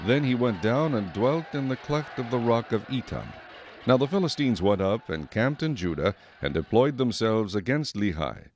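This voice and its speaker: A man's voice: medium pitch, animated, normal speed, darkish tone, slight background noise. A man narrating scripture as a scripted audio drama narrator.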